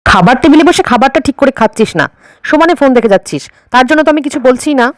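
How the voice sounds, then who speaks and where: নারীকণ্ঠে উঁচু গলার কড়া, যত্নশীল মা. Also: female voice, high-pitched, animated, fast, no room sound, studio quality. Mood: scolding. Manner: nagging, commanding. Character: caring but strict, scolding mother. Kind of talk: children's cartoon story acting.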